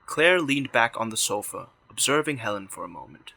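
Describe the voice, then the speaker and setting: A man's voice: medium pitch, lively intonation, moderate pace, gravelly, bright, forceful tone. A man reading scripted story narration in the third person for an audio drama.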